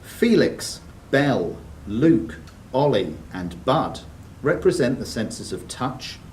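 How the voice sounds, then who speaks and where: A man's voice: medium pitch, sing-song, slow, a little mellow. Male quiz master in a roomy space. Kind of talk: reading quiz questions aloud to contestants. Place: indoors in a club room, mic at a distance. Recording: roomy hall, distant mic, faint noise.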